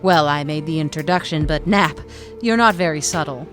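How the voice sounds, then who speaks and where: A woman's voice: low voice, normal speed, faint music behind, close to an everyday voice. A woman playing a tough-minded, wry adventurer in an audio drama, speaking in a direct, dry way. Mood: frank, tender.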